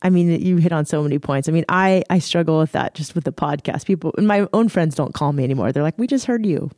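A woman's voice: low voice, fast talker, a little mellow, no echo, studio quality. A woman hosting a podcast interview, gushing with enthusiasm and asking loose, friendly questions. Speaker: podcast host. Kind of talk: casual interview talk.

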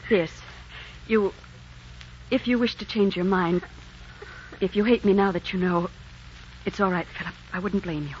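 A woman's voice: mid-range voice, normal speed, pauses often, lightly acted. An actress playing an earnest, secretive young woman in love in a melodramatic 1940s radio drama. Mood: anguished, confessing. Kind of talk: 1940s romantic radio acting. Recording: muffled old radio recording, noisy.

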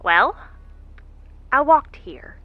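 A woman's voice: high voice, sing-song, extremely slow, dry recording, highs cut off, exaggerated voice. A woman playing a stranded, injured space explorer in a sci-fi audio drama, with a wry, folksy way of muttering asides. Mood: dry, teasing.

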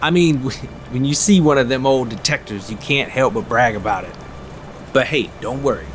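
A man's voice: medium pitch, normal speed, fairly bright tone, slight background noise, close to an everyday voice. A man playing a folksy, chatty, nosy small-town fisherman in an audio drama, rambling on good-naturedly. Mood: confiding.

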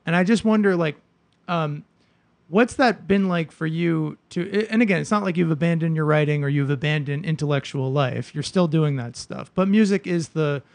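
A man's voice: fairly high pitch, moderate pace, gravelly, a little mellow, dry recording. A man in his thirties hosting an advice podcast, chatty and loose, with lots of fillers. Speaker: podcast host. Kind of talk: casual conversational interviewing.

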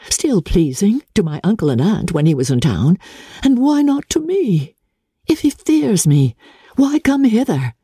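A woman performs a young gentlewoman from a classic novel, wry, teasing and easily vexed. Her pitch leaps up and swoops theatrically, with a husky edge to the emphatic delivery. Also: no echo, clean studio sound, heavily acted. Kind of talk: dramatic literary audiobook reading.

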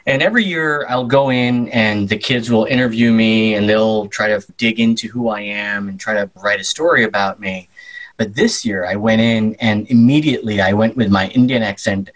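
A young man with an American Midwestern accent, a journalist chatting as an interview guest and telling stories in a lively, playful way. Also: lowish voice, normal speed, rough recording. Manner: animated, slips into playful voices and accents. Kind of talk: casual conversational storytelling.

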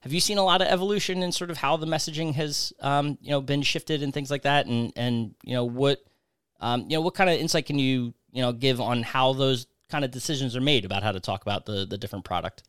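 A man with a smooth, clear voice of medium pitch hosts a casual interview in a dry, close sound. He takes his time getting to the point, with rambling, loosely built questions. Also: fast talker, a bit bright, dry recording. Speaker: podcast host, interviewer.

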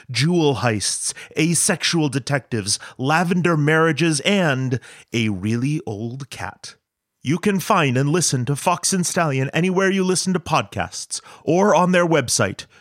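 A man's voice: fairly high pitch, dry recording, studio quality. A man reading scripted announcements and sponsor messages as a podcast announcer.

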